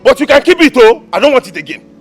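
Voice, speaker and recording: Actor as a protesting Nigerian man, high voice, music under. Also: big pitch swings, fast, exaggerated voice. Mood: indignant. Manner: protesting loudly, pleading. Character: indignant man demanding his money. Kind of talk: Nollywood drama acting.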